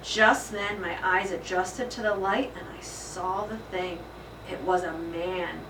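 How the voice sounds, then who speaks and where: A woman narrating a children's storybook aloud in an expressive, dramatic and emphatic style. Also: fairly low pitch, slow pace. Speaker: school principal reading aloud. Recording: echoey room, distant mic, faint noise.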